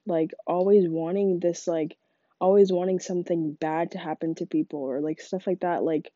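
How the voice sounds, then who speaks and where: A woman's voice: on the low side, fairly even intonation, moderate pace, mellow, no echo, lo-fi sound. A young woman with a stuffy, congested voice, talking in a rambling casual monologue full of "like" fillers. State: congested from a cold. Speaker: podcast host.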